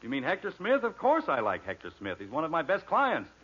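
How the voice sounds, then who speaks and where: Exasperated radio father, sharp and animated. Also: fairly high pitch, brisk, breathy edge, exaggerated voice. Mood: flustered indignation. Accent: American. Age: middle-aged. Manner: dry sarcasm, blustering when flustered. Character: exasperated, sarcastic middle-aged suburban father. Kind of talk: 1940s American radio sitcom acting. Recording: dull, noisy old radio recording.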